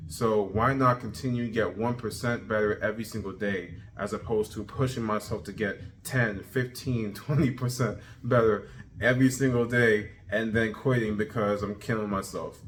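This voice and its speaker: Man, 30, low-pitched, energetic, repetitive, casual vlog talk, faint hum behind. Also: moderate pace, poor audio. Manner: energetic, repetitive, self-motivating. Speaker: vlogger. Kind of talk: casual self-improvement video journal.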